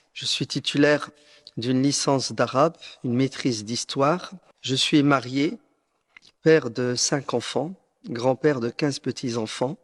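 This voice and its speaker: Male, late 50s. Mid-pitched, solemn and deliberate, reading a formal personal statement. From northern France. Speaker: preacher making a personal statement.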